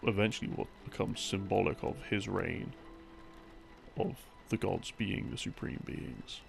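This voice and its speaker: A man telling a rambling story as a sleep aid, in a halting, musing way with pauses, in the role of a boyfriend explaining things. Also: deep voice, lively intonation. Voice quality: creaky, gravelly. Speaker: audio roleplay creator playing a boyfriend. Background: background music.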